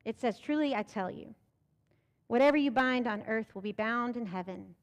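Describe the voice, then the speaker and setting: American lady pastor preaching, high voice, lots of rhetorical questions, pulpit mic in a church. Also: moderate pace, big-room sound. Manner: rhetorical questions, emphatic rising delivery. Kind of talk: sermon. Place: church sanctuary. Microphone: pulpit microphone.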